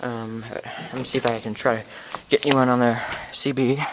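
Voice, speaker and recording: A clearly noisy, clicky amateur recording carries an American man's voice at an average pitch. He hosts a casual hands-on demonstration, speaking informally and haltingly. He tends to think aloud as he explains each step. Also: little pitch movement. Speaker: video tutorial host.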